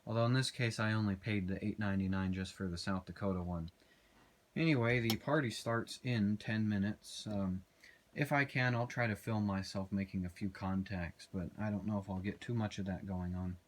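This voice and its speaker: A man's voice: lowish voice, steady tone, normal speed, slight background noise. A man giving a casual, unscripted walkthrough as an amateur radio operator, plain-spoken and a little hesitant.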